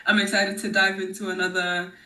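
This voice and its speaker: Woman, podcast guest, expressive, bright tone, echoey room, distant mic. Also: mid-range voice, brisk. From Nigeria. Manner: animated and expressive, laughs often. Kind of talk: casual conversation.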